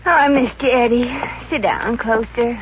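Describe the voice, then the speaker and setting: A woman's voice: fairly high pitch, a little slow, close to an everyday voice. An actress with an American accent playing a brash, vain, pushy but affectionate girlfriend in a 1950s radio comedy. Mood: cooing, coy. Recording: muffled, noisy vintage radio recording.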